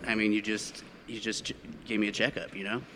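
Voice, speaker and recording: A man's voice: on the low side, flat delivery, a little fast, pushed and bright. A man playing a reckless, boozy, bumbling police officer in an improvised radio parody, loose and erratic in his delivery. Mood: flustered.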